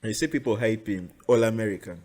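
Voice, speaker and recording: A man's voice: lowish voice, fast talker. Chatty Ugandan man hosting a podcast. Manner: chatty, animated storyteller. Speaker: podcast host. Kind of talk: casual chat.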